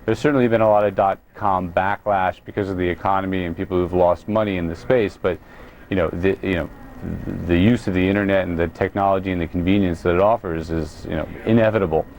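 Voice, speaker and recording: An American man with a fairly low voice speaks as a business guest on a news interview. He explains his points haltingly, pausing and restarting as he goes. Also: steady tone, a little mellow, slight background noise. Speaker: company president, interview guest.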